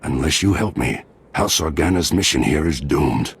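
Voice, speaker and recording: An actor playing a stern, worried older nobleman and father in a video game, with a very deep, gravelly, husky voice. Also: expressive, moderate pace, a little hiss or hum. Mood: grim urgency. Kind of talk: dramatic video game acting.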